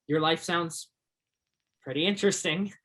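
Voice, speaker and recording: An actor playing an earnest, curious twenty-two-year-old backwoods local in a stage play, gentle and simple in manner. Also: mid-range voice, a little slow, natural voice. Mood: friendly, awkward.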